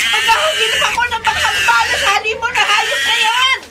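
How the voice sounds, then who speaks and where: An actress playing a fierce, frantic woman rescuer in a Filipino horror radio drama, shrill and with a Filipino accent. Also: fairly even intonation, normal speed, shouting. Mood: frantic alarm. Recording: very noisy, animal-like sounds behind.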